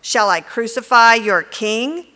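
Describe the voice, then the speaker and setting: A woman's voice: on the high side, slow, sharp tone, in a large hall. A woman reading the lines of a stern male Roman governor questioning a prisoner during a church Passion reading.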